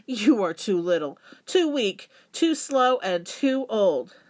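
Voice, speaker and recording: A woman voicing excitable, demanding animals in a storybook, exclaiming insistently. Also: medium pitch, takes it slowly. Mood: scornful.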